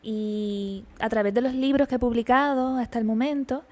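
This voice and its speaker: A woman with a Puerto Rican accent answering interview questions as a guest poet, measured and reflective. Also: a little high, fairly even intonation, darkish tone. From Puerto Rico.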